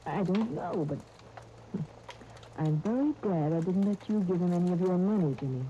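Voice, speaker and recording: A woman's voice: lowish voice, expressive, moderate pace, dark tone, natural voice. An actress playing a wary, level-headed young woman in a 1930s radio serial. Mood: wary, doubtful. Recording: muffled, hissy 1930s radio disc.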